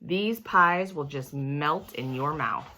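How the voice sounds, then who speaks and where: A woman voicing a cheerful school lunch lady in a storybook, warmly addressing a group of children. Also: low-pitched, animated, takes it slowly, a little hiss or hum, exaggerated voice. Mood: proud.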